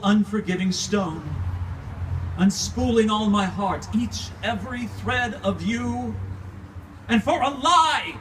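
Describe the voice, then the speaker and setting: A distant, faintly noisy live recording of a man performing an ancient vampire count, lovelorn and bitter. He declaims slowly and theatrically in a Californian accent, full of anguish, in a high-pitched male voice. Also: fairly bright tone. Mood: bitter, scornful. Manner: theatrical, declamatory, anguished, archaic poetic phrasing. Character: grieving, embittered centuries-old vampire count, lovelorn, raging at God. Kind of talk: dramatic monologue reading.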